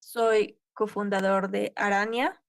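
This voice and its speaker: A woman's voice: mid-range voice, level tone, slow, gravelly, no room sound, clean studio sound. A woman interpreting a conference tech talk live, keeping pace with occasional cut-off restarts. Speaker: simultaneous interpreter.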